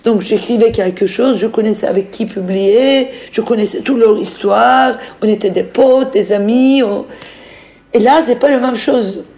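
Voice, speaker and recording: A middle-aged woman from Turkey with a Turkish accent, telling her own story off the cuff as a novelist, reflective and halting as she searches for words and repeats phrases for emphasis. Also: on the high side, mellow. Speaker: novelist speaking as a guest. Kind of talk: reflective personal account, spoken off the cuff.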